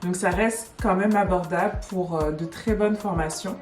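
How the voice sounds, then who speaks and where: French woman guest, low voice, relaxed explainer; echoey room with music behind. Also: normal speed. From France. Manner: relaxed, explanatory, many fillers. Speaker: immigration agency director, guest. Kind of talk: casual interview.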